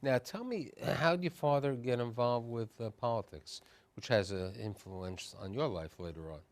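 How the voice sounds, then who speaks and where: A man's voice: medium pitch, moderate pace. A man hosting a conversational television interview, speaking emphatically and prompting his guest.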